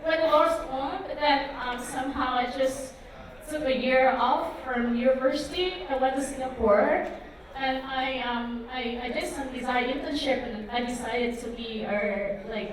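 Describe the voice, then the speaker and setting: A woman's voice: sits high, unhurried. A woman in her thirties from Vietnam giving a conference talk, hesitant, with many ums and likes. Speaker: conference speaker. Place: conference hall. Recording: echoey hall, mic far off.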